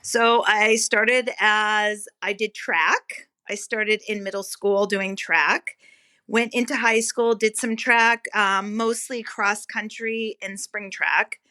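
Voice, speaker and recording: Bright-voiced middle-aged woman guest, laughing. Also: medium pitch, slow pace. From Manchester, New Hampshire. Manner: laughs often, lively storytelling. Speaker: podcast guest. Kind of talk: casual podcast conversation.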